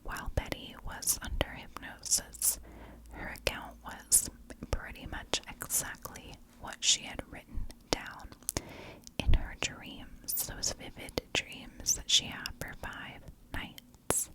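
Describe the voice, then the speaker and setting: Slow, fully whispering woman. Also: low-quality recording. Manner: hushed, deliberate narration. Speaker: ASMR true crime host. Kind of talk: ASMR whispered storytelling.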